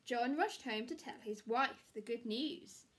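Woman in her 30s doing story time for little kids, takes it really slow, highish voice. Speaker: primary school teacher reading a story. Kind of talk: expressive children's picture-book reading. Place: small room at home, indoors.

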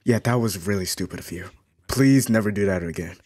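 A man improvising a comedic fan voice-over for a cartoon in a deadpan, goofy manner. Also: on the low side, brisk. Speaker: amateur fan dubber.

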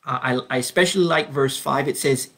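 A man in his fifties from Belize leading an informal Bible study over a webcam at home, speaking earnestly and unhurriedly with frequent ums, slowing down to read verses. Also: mid-range voice, fairly bright tone. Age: 50s. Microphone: webcam, video call framing.

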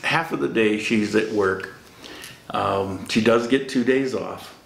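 Gravelly middle-aged man in a roomy room. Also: fairly low pitch, slow, a little hiss or hum. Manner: joking, laughs often. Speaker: vlog host. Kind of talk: casual Q&A chat. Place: small warm-lit room indoors. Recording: roomy echo.

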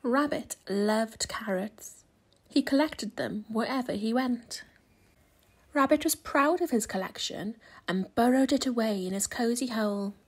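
Slow-paced woman reading a storybook. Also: a little high, a little mellow, husky. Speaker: storybook reader. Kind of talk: expressive children's read-aloud narration.

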